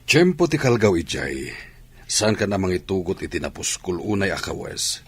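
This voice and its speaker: A man's voice: low voice, very animated, moderate pace, breathy edge, heavily acted. A man acting an athlete's instructive superior in an audio drama. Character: male superior preparing an athlete for travel abroad. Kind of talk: dialogue in an Ilocano audio drama.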